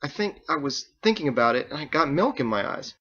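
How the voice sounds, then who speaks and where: A man voicing a nervous, awkward, defensive guy with plain, halting everyday speech for an audiobook. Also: fairly high pitch, very animated, bright, forceful tone, husky, muffled recording, pitched above the performer's own voice, exaggerated voice. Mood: explaining.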